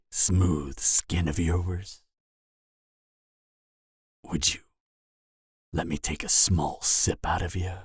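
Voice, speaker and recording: A man's voice: a bass voice, slightly rough, no echo, studio quality, heavily acted. A man playing a cocky, flirtatious and dangerous vampire in an audio roleplay, teasing the listener with a smug, seductive delivery. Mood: seductive, menacing. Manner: teasing, smug, seductive drawl with dramatic pauses. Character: cocky, flirtatious, dangerous adult male vampire, former killer.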